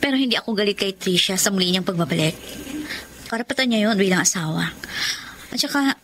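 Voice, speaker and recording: A woman's voice: medium pitch, lively intonation, fast talker, fairly bright tone, a little hiss or hum. An actress playing a kind, self-sacrificing young woman who tells of lost love in an earnest, sentimental Tagalog radio drama style. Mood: resigned. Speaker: letter sender narrating her story in radio drama.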